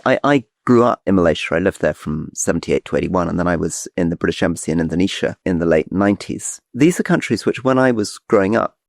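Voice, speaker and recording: A man's voice: low voice, gravelly, dry recording, studio quality. A middle-aged man co-hosting a podcast, explaining eagerly and restarting his sentences as he goes. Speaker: podcast co-host. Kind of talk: casual political chat.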